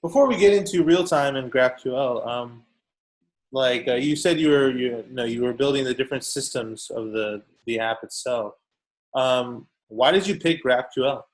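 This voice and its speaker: A man's voice: mid-range voice, unhurried, low-quality recording. A man hosting a tech podcast, introducing a guest and asking questions in a casual, upbeat way with frequent uhs and ums. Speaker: podcast host. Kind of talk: podcast interview.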